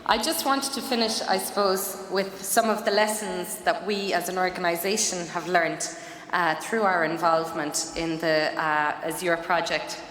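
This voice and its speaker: A woman's voice: lowish voice, steady tone, moderate pace, a bit bright. A woman giving an earnest conference presentation, with frequent fillers. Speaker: conference speaker. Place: conference hall. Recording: echoey hall, faint noise.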